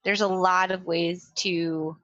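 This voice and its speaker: A woman with a bright, clear-cut voice at a middle pitch, recorded dry. She plays a tabletop game, casually thinking through ideas aloud in measured phrases. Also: little pitch movement, dry recording, muffled recording. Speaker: tabletop role-playing game player.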